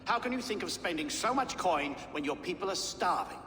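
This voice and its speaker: A sceptical game lord, high bright voice, pointed, echoey hall. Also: male voice, animated, a little fast. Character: sceptical, indignant, sharp-tongued lord. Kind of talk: video game acting. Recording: reverberant hall, faint background noise.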